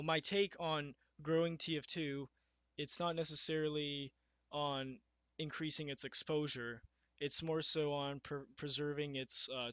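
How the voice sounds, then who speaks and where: A young man with a slightly raspy, fairly high voice talks casually and a little nervously, stumbling and restarting his sentences. He records on a webcam mic, so the sound is dull and very dry. He speaks as a gamer hosting his own video podcast. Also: sharp tone, no echo. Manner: shaky and nervous, many fillers and restarts. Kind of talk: casual unscripted talk, reading from notes.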